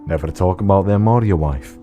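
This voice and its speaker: A man plays a thoughtful survivor with a lowish, mellow voice in an apocalypse audio drama. He is plain-spoken and gently probing, with faint music behind him. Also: a little fast, natural voice. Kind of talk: low-key audio drama acting.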